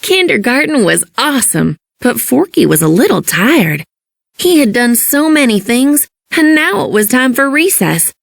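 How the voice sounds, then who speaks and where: Lady reading a kids book out loud, expressive, bit of creak, really dry close sound. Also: sits high, normal speed, no echo. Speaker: storybook narrator. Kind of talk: expressive scripted children's narration.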